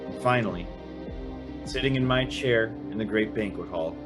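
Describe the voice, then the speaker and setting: A man's voice: fairly low pitch, normal speed, music in the background, natural voice. An actor playing an earnest, awestruck young man who also narrates an old-time radio drama. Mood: wondering narration. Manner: hesitant, stammering in dialogue.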